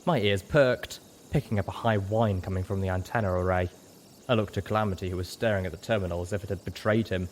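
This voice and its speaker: A man's voice: deep voice, fast, a little hiss or hum. A man reading a story as a dramatic, scripted narration.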